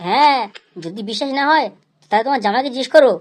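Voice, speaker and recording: A woman's voice: high-pitched, very fast, a little hiss or hum, natural voice. A woman playing a brazen, unrepentant young village wife in a comedy cartoon, blunt and defiant. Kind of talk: Bengali comedy cartoon dialogue. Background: background music.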